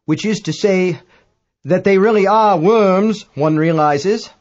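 A man's voice: high-pitched, slow, a little mellow, muffled recording, voice pushed up. A man voicing a pompous, rattled amateur astronomer who speaks in stiff, pedantic, self-correcting understatement for a story. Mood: flustered, pedantic horror.

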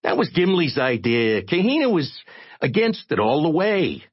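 A man voicing a tiny, frantic, streetwise reporter with a squeaky, irritating little voice, in an audiobook. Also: very animated, normal speed, breathy edge, dull audio. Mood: gossipy. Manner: streetwise slangy American talk.

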